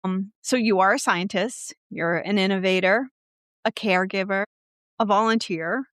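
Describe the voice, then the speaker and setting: A woman's voice: medium pitch, moderate pace, fairly bright tone, no echo, clean studio sound. A woman hosting an interview podcast, introducing her guest and asking questions in an earnest manner. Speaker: podcast host.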